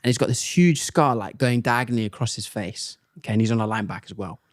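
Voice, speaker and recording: A young man and comedian, chatting casually as a podcast guest and telling animated stories in which he acts out other people's lines. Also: mid-range voice, fast talker, dry recording, studio quality. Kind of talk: casual chat, storytelling.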